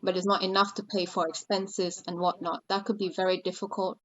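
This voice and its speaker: A woman's voice: mid-range voice, level tone, brisk. A woman from Thailand, a guest on a casual podcast, talking at length and thinking aloud with "uh" and "you know". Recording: dull lo-fi sound.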